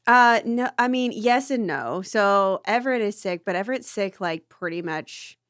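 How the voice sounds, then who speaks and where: A woman's voice: mid-range voice, animated, moderate pace, a bit bright, no echo, studio quality. A woman in her thirties, a mother and podcast co-host, chatting casually with easy laughter and rambling asides. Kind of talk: casual chat.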